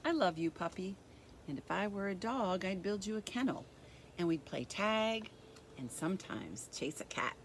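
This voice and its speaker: A woman reading a picture book aloud as a story time host, speaking deliberately and expressively to young children. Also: medium pitch, a little hiss or hum. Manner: deliberate, expressive, addressing young listeners directly.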